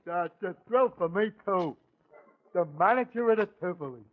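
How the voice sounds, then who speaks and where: A man playing a dim-witted, stammering tavern hanger-on with a goofy, halting manner in 1940s radio comedy. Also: sits high, lively intonation, narrow, tinny audio. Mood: goofy, boastful. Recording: noisy restored 1940s radio recording.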